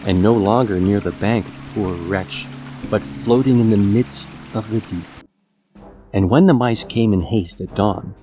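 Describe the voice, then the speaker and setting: A man's voice: fairly low pitch, unhurried, dark tone. A man narrating an epic tale as a scripted, dramatized audiobook. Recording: music and effects under the voice.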